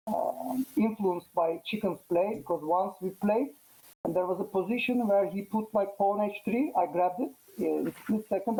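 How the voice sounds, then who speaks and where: Man with a Turkish accent, mellow. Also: high-pitched, rough recording. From Turkey. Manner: halting, many fillers and restarts. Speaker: guest chess player. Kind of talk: casual post-match interview.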